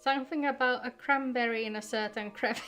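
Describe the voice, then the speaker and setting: A woman's voice: a little high, steady tone, brisk, a bit bright. A woman, chatting casually with viewers as she livestreams a game. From Finland. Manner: chatty, greets viewers by name. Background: in-game music.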